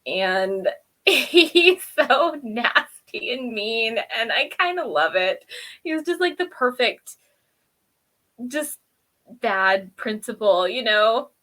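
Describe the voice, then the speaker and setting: Bright, giggly woman chatting. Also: on the high side, slow pace. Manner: giggly, rambling, animated storytelling. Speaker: review show host. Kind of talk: casual chatty episode recap.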